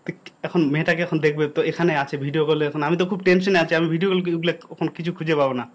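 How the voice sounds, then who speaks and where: Very fast-talking young man, insistent. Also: fairly high pitch, fairly even intonation. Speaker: prank caller and video host. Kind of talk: casual prank phone call.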